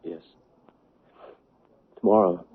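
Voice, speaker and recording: An actor playing a grief-stricken, bitter son in a 1970s radio drama, halting and anguished as he repeats himself. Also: close to an everyday voice. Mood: subdued grief. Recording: muffled, noisy 1970s radio broadcast.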